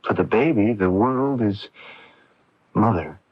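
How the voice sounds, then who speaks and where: An actor playing a patient, probing therapist in a radio drama, speaking in a soft, measured, confiding way. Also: male voice, on the low side, animated, breathy edge, no room sound, heavily acted. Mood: gentle, probing. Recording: dull 1980s AM radio recording.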